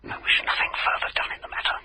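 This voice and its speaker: An actor playing a curt, secretive male client who speaks in a hushed, dismissive way, in a radio drama. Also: racing, very noisy, close to an everyday voice.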